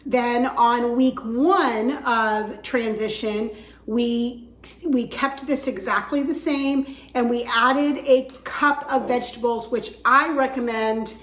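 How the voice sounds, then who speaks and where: A woman's voice: on the high side, takes it slowly. A woman vlogging about her weight-loss journey, chatty with frequent fillers, her voice weak from recovering from the flu. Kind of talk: casual instructional vlog. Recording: echoey room.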